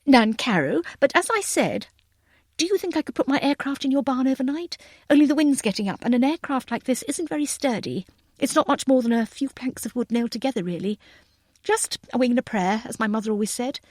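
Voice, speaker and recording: A woman voicing a bright, effusive, well-bred young lady aviator who is polite and apologetic, with old-fashioned phrasing. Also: high-pitched, animated, brisk, dry recording, clean studio sound. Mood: eager, pleading.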